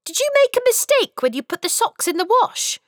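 A woman voicing a kind, eager little boy in a storybook, speaking in a bright, excited way. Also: extremely high pitch, brisk, no room sound, studio quality, voice pushed up.

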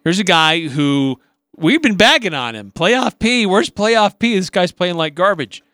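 A man's voice: sits high, very animated, unhurried, pushed and bright, no echo, clean studio sound. A man with an American accent co-hosting a sports talk radio show, giving analysis and chat.

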